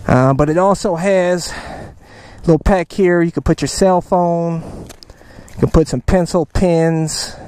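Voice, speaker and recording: A man's voice: fairly high pitch, a little mellow. A man reviewing a motorcycle backpack outdoors in a casual, enthusiastic, salesy way, tossing in slang like "man" and "baby" and plenty of fillers. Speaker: motovlogger reviewing gear. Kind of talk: casual moto vlog review. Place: outdoors in a parking lot beside his motorcycle.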